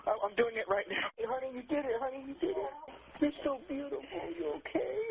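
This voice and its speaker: Male emergency caller, urgent, excited, very animated pitch, muffled phone recording. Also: quick pace, gravelly, a loud crowd. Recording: muffled phone call, voices behind.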